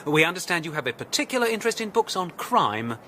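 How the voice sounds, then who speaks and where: Nervy polite salesman, sharp forward tone, up-and-down delivery, faint noise behind. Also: sits high, big pitch swings, full character voice. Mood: hesitant, polite. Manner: halting, polite, formal. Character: hesitant, deferential salesman from a publishing firm. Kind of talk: radio drama acting.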